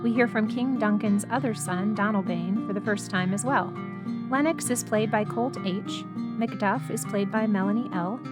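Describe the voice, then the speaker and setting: A woman giving a scripted introduction to a play reading as its announcer. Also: medium pitch. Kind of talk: scripted narration. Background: loud plucked guitar music.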